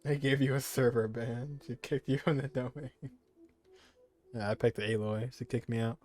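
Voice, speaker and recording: A man streaming a game, reacting in a relaxed, chuckling way. His voice is mid-pitched, with faint game music underneath. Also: normal speed. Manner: laid-back, chuckling reactions. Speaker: gaming streamer. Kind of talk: casual gameplay commentary.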